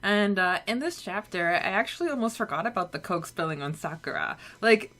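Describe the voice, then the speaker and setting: A woman's voice: mid-range voice, animated, fairly quick, fairly bright tone. A woman co-hosting a podcast, chatting casually about a story chapter and laughing often. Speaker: podcast co-host. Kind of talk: casual book-club chat.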